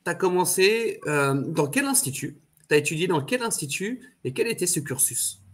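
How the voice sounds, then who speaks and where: Husky-voiced male interview host. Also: a little high, very animated, normal speed, a bit bright. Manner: friendly, direct questioning. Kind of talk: casual interview chat.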